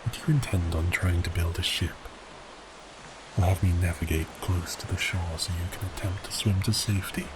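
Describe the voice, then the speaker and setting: A man's voice: low voice, fairly even intonation, moderate pace, noisy background, natural voice. A man playing a giant cursed sea beast prince in an ASMR roleplay, theatrical, melancholy and exasperated. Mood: exasperated, bemused.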